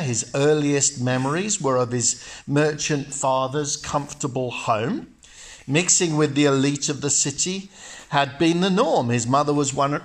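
A man who is a pastor, praying and then telling a story in a measured, storytelling way, speaking in a church. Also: medium pitch, a bit bright. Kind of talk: sermon with narrated story.

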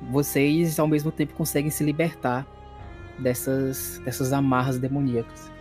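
A man's voice: mid-range voice, little pitch movement, normal speed, a little mellow, faint music behind. A man with a Brazilian accent running a tabletop horror game, moving between dramatic narration and casual refereeing. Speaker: game master.

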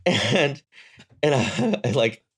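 A young adult man, a guest engineer, chatting in a relaxed interview and telling stories with frequent restarts and chuckles. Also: medium pitch, takes it slowly, breathy edge. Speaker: guest, engineer and startup founder. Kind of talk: casual interview conversation.

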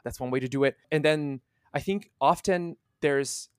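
A man, a guest on a podcast, explaining his work in a casual, halting way with many restarts. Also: on the high side, smooth, no room sound, studio quality. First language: Italian. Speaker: guest, translation manager.

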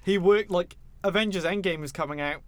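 A man's voice: sits high, brisk, no echo. A man co-hosting a podcast in casual film chat, opinionated and given to acting out imagined dialogue. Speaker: podcast co-host.

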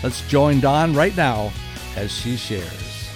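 A man's voice: medium pitch, lively intonation, slow. A man delivering a scripted promotional introduction as an announcer. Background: guitar music.

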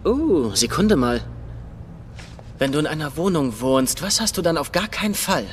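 A man's voice: a little high, big pitch swings, normal speed, a little hiss or hum. An actor playing a playful, cocky young amateur sleuth in dubbed comedy-crime TV dialogue, flippant and goofy as he banters. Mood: smug banter.

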